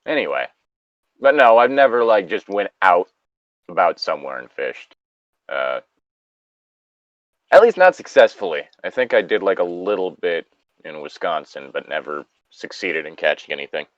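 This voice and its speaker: A man's voice: low voice, big pitch swings, normal speed, long pauses, no echo, highs cut off. A man casually reading a story aloud as host, breaking off for joking, sweary asides.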